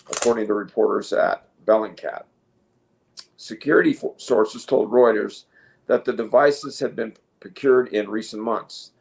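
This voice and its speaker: A man co-hosting a news commentary show, speaking casually and haltingly, opinionated, at times reading from notes. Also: fairly low pitch, darkish tone, low-quality recording. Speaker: co-host.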